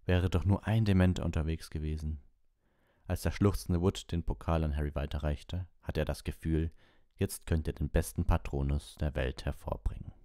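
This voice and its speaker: A man with a very low, dark and mellow voice hosts an audiobook livestream. He sits close to the mic, and the sound is dry with no room around it. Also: fairly even intonation, normal speed, no room sound. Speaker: audiobook reader and livestream host. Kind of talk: story narration and casual livestream chat.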